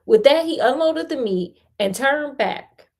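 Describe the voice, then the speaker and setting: A woman with an American accent reads a fairy tale in an animated style, with playful, chatty, slangy asides. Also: high-pitched, a little slow, vocal fry. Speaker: storyteller and host.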